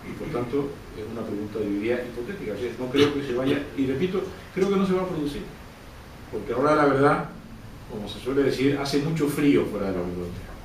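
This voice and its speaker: A man's voice: medium pitch, quick pace. Guy doing q&a at a book talk, emphatic, echoey and far from the mic. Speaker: author and professor speaking at a book presentation. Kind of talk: public discussion, answering audience questions. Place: event room, distant mic. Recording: roomy, distant mic, faint noise.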